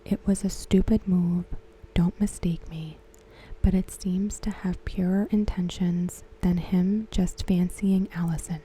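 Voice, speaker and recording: Asmr lady whispering real soft and breathy, kinda low, flat and measured. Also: a little hiss or hum, poor audio. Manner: slow, measured, hushed. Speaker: ASMR host reviewing a TV episode. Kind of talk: ASMR commentary, softly spoken.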